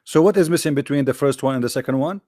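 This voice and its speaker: A man's voice: medium pitch, warm tone, no room sound. Combative man lecturing in a dry room. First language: Arabic. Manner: combative, lecturing, drawn-out emphasis with halting fillers. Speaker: religious commentator. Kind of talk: lecturing video with on-screen text.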